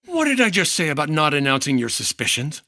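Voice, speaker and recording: A man's voice: fairly high pitch, wildly swooping pitch, fairly quick, pushed and bright, no echo, heavily acted. A man playing a brusque but decent insurance investigator in a 1950s radio detective drama, asking terse, probing questions.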